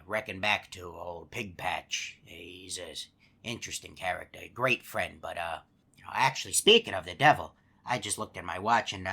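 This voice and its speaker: An easygoing hippo animatronic, voiced by a man, deep yet bright and forward in tone. He rambles through a folksy yarn, peppered with uh and you know. A fan-game character monologue. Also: gravelly, full character voice. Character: chatty male hippo animatronic, easygoing storyteller.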